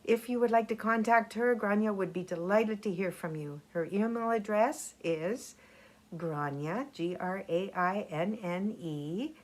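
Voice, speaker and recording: A woman narrates in a read-aloud storytelling style. Her pitch is mid-range and her pace is very unhurried, with deliberate, measured phrasing. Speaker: storyteller, festival committee member.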